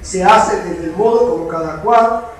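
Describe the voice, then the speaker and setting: A man's voice: sits high, moderate pace, a little hiss or hum. A man, a veteran teacher giving an impassioned lecture and hammering his points home through repetition. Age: 70s. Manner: emphatic, repeats key words in insistent chains. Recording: echoey room picked up from far off.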